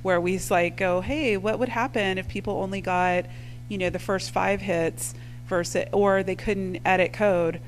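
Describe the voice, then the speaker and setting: A woman's voice: medium pitch, fairly even intonation, moderate pace, a little hiss or hum. A woman panelist answering questions at a live panel, talking in a thoughtful, conversational way with many fillers. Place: lecture hall with audience.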